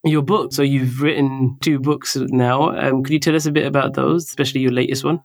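A male podcast co-host with a mid-pitched voice and little rise or fall. He is supportive and affirming as he interviews casually. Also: steady tone, brisk, vocal fry, no echo, clean studio sound.

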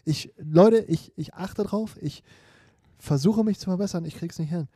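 A man's voice: sits high, moderate pace, mellow, slightly rough, no room sound, studio quality. A young man co-hosting a casual podcast, joking and playful, sometimes dropping English words. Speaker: podcast co-host.

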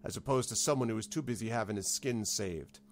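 A man's voice: on the low side, brisk, lightly acted. A man doing a blunt stern commander.

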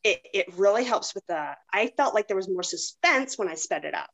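A woman's voice: medium pitch, lively intonation, brisk, fairly bright tone. A woman hosting a podcast, chatting casually and introducing clips. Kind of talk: casual chat about audiobooks.

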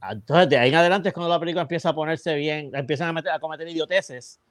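A Puerto Rican man on a podcast, his voice a touch higher than most men's, talks through a movie's story in loose, rambling chat and keeps restarting his sentences. The sound is close and dry, with no room around it. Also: racing, no echo. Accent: Puerto Rican Spanish. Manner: rambling, chatty plot retelling with many restarts. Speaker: podcast co-host. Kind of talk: casual film review chat.

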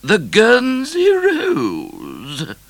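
A man voicing a stern, weary queen in a storybook, with a pompous, condescending, drawn-out royal delivery. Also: medium pitch, huge pitch swings, a bit bright, dry recording, voice pushed up, full character voice.

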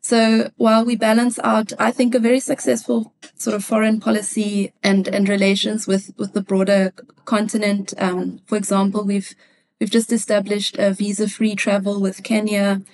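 A woman with a South African accent from Cape Town, an economist answering interview questions in a thoughtful way, often pausing to find her words. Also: medium pitch, little pitch movement, a little mellow, rough recording. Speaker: guest, economist.

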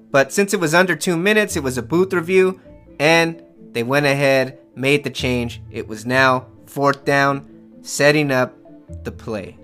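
A man with a slightly high, bright and forceful voice hosts a football podcast. He recounts games as an opinionated, emotional fan in casual slang. Faint guitar music plays behind him. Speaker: sports podcast host.

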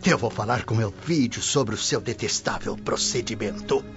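A man's voice: fairly low pitch, moderate pace, natural voice. A man with a Brazilian accent playing a haughty, irritable Roman official in a radio soap, imperious and scornful. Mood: angry, scornful. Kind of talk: radio soap acting in Portuguese. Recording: muffled radio drama with clear music underneath.

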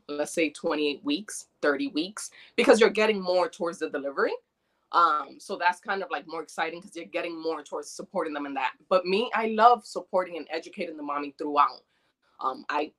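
A woman from New York, born in the Dominican Republic, talking as a doula guest in an earnest, chatty, animated way. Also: deep voice. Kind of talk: casual interview conversation.

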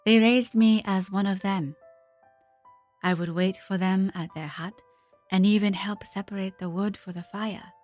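A woman's voice: mid-range voice, steady tone, a little slow, a little mellow, soft background music, close to an everyday voice. An actress playing an earnest, dutiful woman who tells her story reflectively and hesitantly in an audio drama monologue. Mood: wistful. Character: adult Japanese daughter recalling her diver mother, earnest, dutiful.

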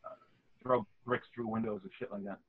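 A man from the Boston area co-hosting a podcast, chatting casually, animated and argumentative, laughing often, heard over a call-in line. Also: lowish voice, brisk, husky, dry recording. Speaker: podcast co-host. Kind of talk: casual banter. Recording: tinny dry phone-like line.

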